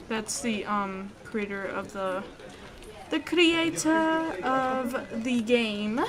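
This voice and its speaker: Bright, higher-voiced woman reading aloud. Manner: expressive, reacts aloud. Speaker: let's play host. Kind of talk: expressive visual novel read-aloud with asides.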